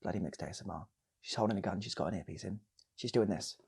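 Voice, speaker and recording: A man's voice: low voice, fast, creaky, darkish tone, husky. A British man improvising a comic story, rambling and repeating phrases for effect as he goes. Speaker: channel host and storyteller.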